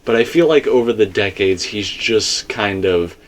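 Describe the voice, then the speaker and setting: A man with a slightly low voice leads a casual discussion of theories. He talks in a rambling but emphatic way, like a host laying out a case. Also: lively intonation, normal speed.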